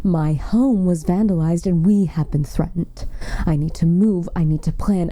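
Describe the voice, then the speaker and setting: A woman plays a protective, proud witch with a lowish and very dark, soft voice. She speaks slowly and formally, with dramatic weight, and often sinks into a breathy whisper as in an intimate ASMR roleplay. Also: no echo. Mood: shocked, indignant. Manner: formal, measured, dramatic delivery. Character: protective, proud, frustrated witch, tender toward her lover.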